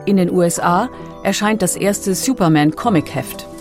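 A woman reading scripted documentary narration in a measured, factual manner. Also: fairly low pitch, music in the background.

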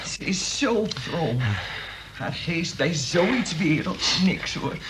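A woman's voice: on the low side, sing-song, slow, noisy background. An actress playing a pious, doting, easily shocked mother in a radio play, sighing and fussing as she speaks. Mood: pious, admonishing.

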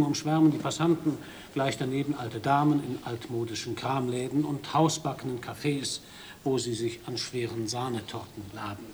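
An actor playing a radio correspondent in a radio play, narrating his report in a dry, measured, observant way and questioning a host. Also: medium pitch, a little hiss or hum, close to an everyday voice. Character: male foreign radio correspondent, wry observer.

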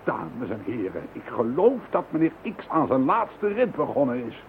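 A man's voice: mid-range voice, sing-song, moderate pace, lightly acted. Een man die in een hoorspel een sceptische, kordate hoofdinspecteur van politie speelt. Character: gruff skeptical male police chief inspector. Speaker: chief inspector. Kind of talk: radio play acting. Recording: lo-fi phone-band radio recording with faint hiss.

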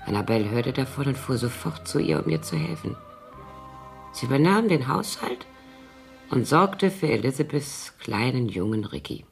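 An actress playing a tipsy, scheming older former nanny in a radio crime drama, brooding and halting in a theatrical way. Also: female voice, very deep voice, big pitch swings, soft background music, exaggerated voice. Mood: brooding, conspiratorial. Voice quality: husky, creaky. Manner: brooding, halting, theatrical delivery dropping into whispers.

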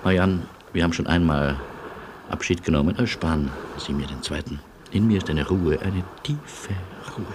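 A man playing an ironic, resigned Polish refugee who argues in a measured, philosophical way in a German radio play. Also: sits low, background noise, natural voice. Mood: resigned calm. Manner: measured, argumentative, wry.

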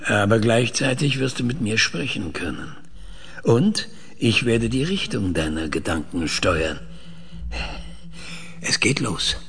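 A man acting in a radio play as a calm, controlling scientist with a husky, gravelly voice and a measured, deliberate way of talking. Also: lowish voice, exaggerated voice. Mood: calm, probing. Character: calm, controlling male scientist interrogating an artificial mind. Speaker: radio drama actor.

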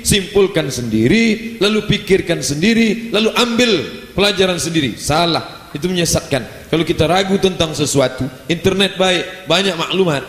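Animated preacher in an echoing hall. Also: male voice, on the high side. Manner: lively, animated delivery with playful asides. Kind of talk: religious lecture. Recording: roomy hall echo, faint ambience.

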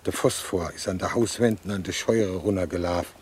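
A man playing a plain-spoken villager with a west-central German regional accent, giving a halting, colloquial eyewitness account in a radio play. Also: low-pitched, darkish tone, breathy edge. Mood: matter-of-fact recollection. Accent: regional west-central German (Hessian-Palatinate).

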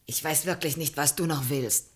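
A slightly low female voice from an actress, playing an assertive woman in a radio drama. She sounds insistent and dismissive, with a scornful temper. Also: normal speed, close to an everyday voice. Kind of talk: German radio play acting, 1980.

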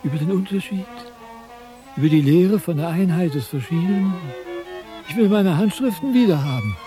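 Radio play lead: vain, self-pitying philosopher, mellow voice pitched a bit high, plaintive delivery. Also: male voice, expressive, moderate pace, soft background music. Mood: pleading, aggrieved. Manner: plaintive, lamenting, theatrical.

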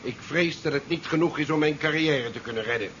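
A man's voice: on the high side, a little fast, fairly bright tone, lightly acted. Man as curt commander, muffled old radio. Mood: tense, bitter. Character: curt, sardonic male ship commander worried about career. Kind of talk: 1970s Dutch science fiction radio play acting. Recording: muffled 1970s radio drama recording, faint noise.

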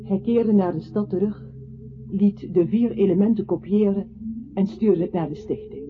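Actor as high-voiced, lyrical male radio narrator. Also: fairly even intonation, normal speed, background noise, natural voice. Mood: earnest. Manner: lyrical, recitative, articulate. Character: earnest, lyrical male narrator recounting a composer's life. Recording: thin phone-like radio sound, faint music.

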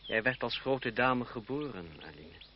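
Actor as sardonic young French nobleman, low-pitched. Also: animated, a little slow, close to an everyday voice. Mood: indignant, pleading. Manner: mocking, theatrical, eloquent. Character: sardonic young French nobleman, smitten cousin, secretly jealous. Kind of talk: vintage Dutch radio play acting. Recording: dull, noisy old radio-play recording.

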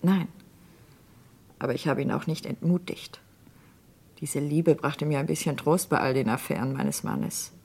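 An actress playing an anguished, probing doctor's wife in a German radio crime drama, speaking in a measured way. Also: female voice, low voice, expressive, creaky, a little mellow, breathy edge, exaggerated voice. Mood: anxious, earnest.

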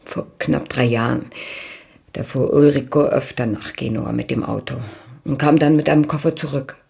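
An anxious, loyal woman under questioning in a radio crime drama. Her voice is very raspy with a gravelly creak, and she sounds subdued and defensive. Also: rumbling low, steady tone, moderate pace, warm tone, heavily acted. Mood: reluctant confession. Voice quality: husky, creaky. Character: anxious, loyal woman reluctantly confessing to police. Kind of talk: German radio drama acting.

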